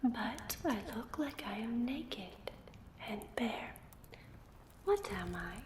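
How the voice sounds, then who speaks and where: A woman's voice: on the high side, expressive, slow, roomy sound, noisy background. A woman voicing a playful, teasing ghost who poses riddles in an ASMR roleplay, repeating words over and over in a coaxing way. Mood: mysterious, coaxing. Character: playful, teasing female ghost guarding a secret passage with riddles.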